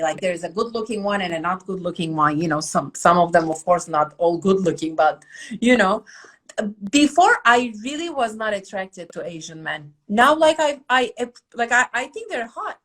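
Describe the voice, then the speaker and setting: A middle-aged woman from Turkey with a Turkish accent, hosting a casual podcast chat in an animated, emphatic way. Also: fairly high pitch. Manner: animated, emphatic, asks rhetorical questions. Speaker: academy host.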